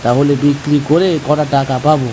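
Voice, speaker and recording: A man's voice: on the high side, steady tone, brisk, background noise, close to an everyday voice. A man playing a poor, honest village farmer in a cartoon, earnest and plaintive. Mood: resigned. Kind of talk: cartoon voice acting.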